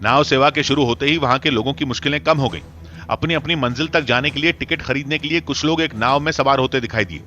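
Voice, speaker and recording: A man's voice: medium pitch, fast, bright, forceful tone. A man reading a scripted news voice-over.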